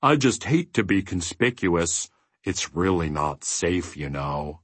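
A man's voice: low-pitched, sing-song, slow, slightly rough, no echo, voice pushed down, heavily acted. A man voicing a huge gelatinous giant in a storybook, ferocious and peevish but secretly timid and indecisive. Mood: anxious, confiding. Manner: bellowing, hedging, indecisive.

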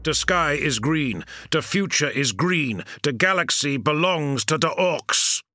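An actor playing a zealous ork war prophet, proclaiming a dramatic prologue in a solemn, portentous manner. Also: male voice, fairly high pitch, lively intonation, measured pace, sharp tone, dry recording. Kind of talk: dramatic scripted prologue narration.

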